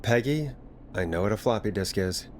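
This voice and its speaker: An actor playing a steady late-night radio DJ in a video game, a man who is reassuring but dryly exasperated. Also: lowish voice, normal speed, a little hiss or hum, natural voice. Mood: dry exasperation. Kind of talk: video game voice acting, conversational.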